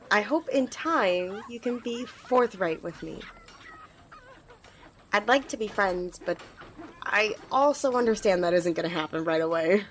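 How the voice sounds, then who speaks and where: A woman's voice: medium pitch, slight background noise, close to an everyday voice. A woman playing an eager, earnest, slightly nervous magical squire in an ASMR roleplay, talking to the listener in a hesitant, rambling, reassuring way. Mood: earnest, explaining.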